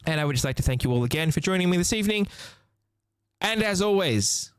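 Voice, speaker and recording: A man's voice: sits high, animated, fast talker, clear, resonant tone, dry recording, clean studio sound. A man hosting a game livestream, chatting directly to viewers. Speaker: game streamer, host. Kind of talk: livestream sign-off chat and story reading.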